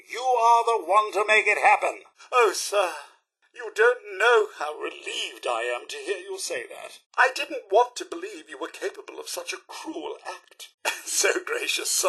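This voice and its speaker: Male robot butler, British accent, raspy edge, very animated intonation, polite, fretful, devoted, theatrical game dialogue. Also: high-pitched, heavily acted, poor audio. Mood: earnest, devoted, then relieved, grateful. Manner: formal, polite, theatrical and deferential. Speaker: robot servant companion. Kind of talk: video game character dialogue.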